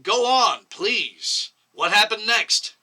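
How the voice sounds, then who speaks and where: A man reading a story and voicing a stern, exasperated commission chairman, interrogating with emphatic, drawn-out words. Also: sits high, expressive, sharp tone, voice pushed up, heavily acted. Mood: stern, pressing. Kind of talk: dramatic short story reading.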